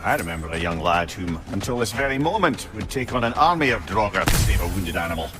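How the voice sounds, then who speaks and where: An actor playing a garrulous, witty older advisor with a Scottish accent in a video game, wordy, theatrical and wry. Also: male voice, low-pitched, very animated, quick pace, over music. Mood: wry, chiding. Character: garrulous, witty older male advisor, colourful old storyteller. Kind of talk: cinematic video game acting.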